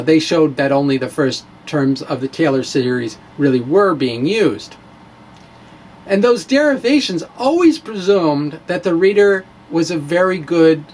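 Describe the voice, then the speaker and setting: A man giving a theatrical, emphatic dramatic reading of a science blog, with deliberate pauses. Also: on the high side. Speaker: science blogger and presenter.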